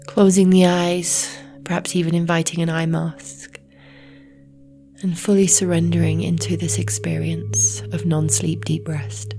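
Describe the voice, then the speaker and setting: A woman leads a guided relaxation in a low, even voice. She speaks softly and slowly, often close to a whisper, leaving long pauses. Meditation music with singing bowls plays clearly behind her. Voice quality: soft, breathy, often near whisper. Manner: slow, soothing, with long pauses. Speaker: yoga nidra meditation guide.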